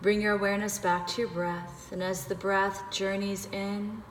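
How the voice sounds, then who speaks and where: A woman leading a guided meditation, giving gentle, unhurried instructions with pauses. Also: mid-range voice, level tone. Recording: echoey reverberant room with background noise.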